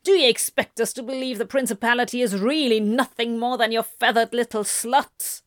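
A woman's voice: fairly high pitch, normal speed, no room sound, clean studio sound, lightly acted. A woman voicing a leering male demon duke in a story, with a slimy, taunting manner. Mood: menacing, taunting.

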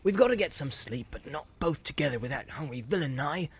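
A man's voice: on the high side, animated, brisk, breathy edge, voice pushed up. A man voicing a loyal, plain-spoken male servant who talks in a blunt, rustic way and stays suspicious. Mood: wary.

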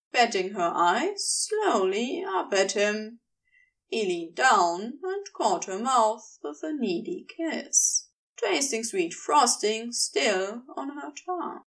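A woman reading fiction aloud as an expressive audiobook-style narration. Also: a little high, slow pace, far from the mic, low-quality recording. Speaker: podfic reader.

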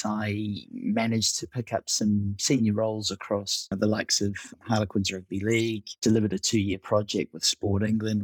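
A man's voice: lowish voice, husky, no echo, poor audio. A middle-aged man with a New Zealand accent, recounting his career conversationally as an interview guest. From Auckland, New Zealand. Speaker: startup COO, interview guest. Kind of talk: conversational account of own career.

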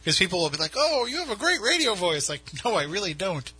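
A man's voice: high-pitched, sing-song, fast talker, pushed and bright, slightly rough. A man with a New England accent hosting a radio show, chatting casually in a studio with many restarts. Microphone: studio mic.

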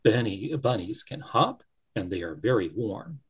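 A great silver wolf speaks low, slow and gentle, every word deliberate, as a man puts him on. The voice is husky and soft-edged, a wise elder of a storybook forest. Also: big pitch swings, full character voice.

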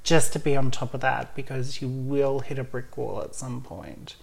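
A woman with a notably low voice talks openly in a relaxed chat. She laughs often between points. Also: moderate pace, creaky, rough recording. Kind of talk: casual chat.

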